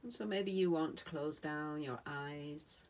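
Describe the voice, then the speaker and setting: Low-voiced woman guiding relaxation, very unhurried. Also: darkish tone. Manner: soothing, unhurried, speaking in short phrases with long pauses. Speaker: yoga nidra meditation teacher. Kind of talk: guided relaxation meditation.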